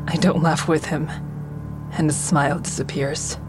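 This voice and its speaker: A woman's voice: low voice, steady tone, moderate pace, over loud music, natural voice. An actress playing an embittered, grieving mother who narrates her own story in an audio drama with cold, guarded intensity. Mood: disgusted, cold. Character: embittered woman narrating her grief and rage, a bereaved mother.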